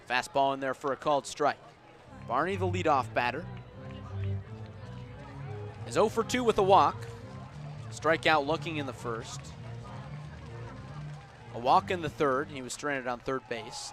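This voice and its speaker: A man doing live radio play-by-play of baseball as the announcer, with a measured delivery that rises on the action. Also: on the high side, animated, fairly bright tone, faint music behind. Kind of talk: live radio sports commentary. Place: outdoors at a baseball stadium. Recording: outdoor ballpark ambience noise behind.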